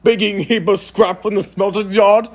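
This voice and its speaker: A man voicing a boastful, scornful male steam engine in a cartoon audition, with a pompous, theatrical, sneering delivery and a raspy, gravelly voice. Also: sits high, flat delivery, moderate pace. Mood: triumphant, scornful.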